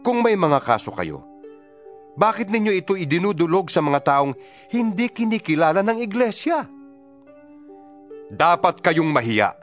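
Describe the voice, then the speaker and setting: Male scripture reader, fairly high voice, earnest and exhorting, music bed underneath. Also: big pitch swings, unhurried, long pauses. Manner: earnest, preaching, rhetorical questions. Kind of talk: dramatized scripture reading. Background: background music.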